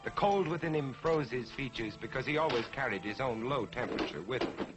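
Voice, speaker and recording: A man narrating as a storyteller in a theatrical scripted style, deliberate and emphatic, on a vintage 1940s television soundtrack. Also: mid-range voice, lively intonation, over loud music. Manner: deliberate, emphatic, dramatic phrasing.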